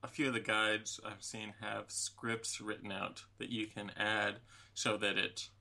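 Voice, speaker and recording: A man with a creaky, fry-heavy voice and a bright tone, pitched a little low. He talks casually as a podcast co-host, tentative and careful not to commit. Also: level tone, measured pace. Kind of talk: casual gaming podcast chat.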